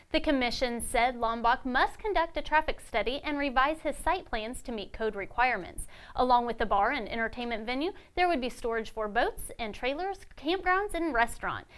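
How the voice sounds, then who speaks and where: A young woman anchoring a local news update in a studio, reading announcements in a crisp, even newsreader style. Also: a little high. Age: young adult.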